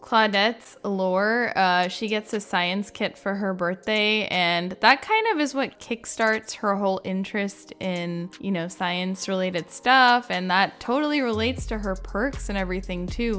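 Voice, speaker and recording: A woman's voice: mid-range voice, a little slow, hardly any pauses, fairly bright tone. Chatty woman doing casual gaming commentary. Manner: chatty, informal, frequent "like" and "you know". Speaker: gaming video creator and host. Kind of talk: casual video commentary and reactions.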